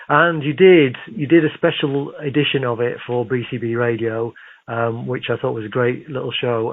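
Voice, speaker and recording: A man of medium pitch interviews in a conversational, affirming way, as a radio host does. His voice comes through narrow and tinny, like a telephone connection. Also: slightly rough. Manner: encouraging, affirming. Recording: narrow phone-like radio sound.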